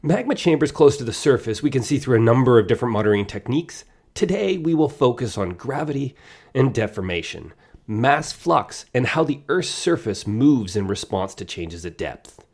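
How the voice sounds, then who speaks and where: A man's voice: medium pitch, very animated, normal speed, darkish tone. A man in his thirties hosting a science podcast, playful and self-deprecating, with puns worked into his introductions. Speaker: podcast host. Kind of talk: casual science podcast interview.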